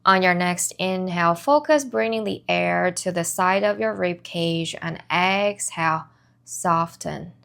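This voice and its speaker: A woman leading a guided body scan meditation as an instructor, giving soothing, measured instructions in short phrases with pauses between them. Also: lowish voice, vocal fry, fairly bright tone. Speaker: meditation and somatic movement instructor.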